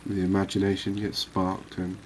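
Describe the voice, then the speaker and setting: A man giving relaxed, unhurried, musing ASMR-style gameplay commentary, chatting to his viewers. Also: low-pitched, a little hiss or hum. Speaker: host of a cozy fishing game channel.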